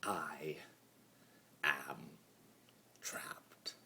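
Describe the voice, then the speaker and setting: A man's voice: a bass voice, drawn-out words, pushed and bright, slight background noise, pitched below the performer's own voice, exaggerated voice. A man voicing a mad, immortal chaos spirit in a theatrical dramatic monologue, torn between glee and brooding. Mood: hushed brooding. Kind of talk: dramatic fan-fiction monologue reading.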